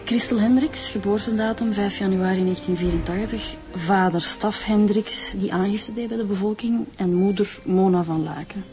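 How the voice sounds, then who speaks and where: An actress playing a factual, businesslike Flemish police inspector in a radio drama. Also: female voice, medium pitch, normal speed, hardly any pauses, dark tone, faint music behind, natural voice. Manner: factual, orderly.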